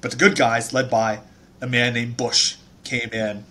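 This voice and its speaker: A man's voice: medium pitch, little pitch movement, unhurried, sharp tone. A man in his thirties from Taiwan, a startup founder telling his life story in a casual interview, chatty with many fillers and restarts.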